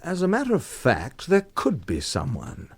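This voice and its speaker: Radio drama: cocky drunken cad and blackmailer, taunting, oily familiarity, very lively pitch movement. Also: male voice, normal speed, slightly rough, full character voice. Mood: sneering, defiant. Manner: taunting, familiar, theatrically insinuating.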